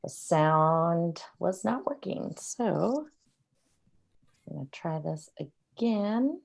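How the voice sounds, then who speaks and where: A woman's voice: fairly low pitch, lively intonation, takes it slowly, vocal fry. A woman hosting an online event in a casual, off-the-cuff way over a video call. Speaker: event host. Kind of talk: casual chat while troubleshooting.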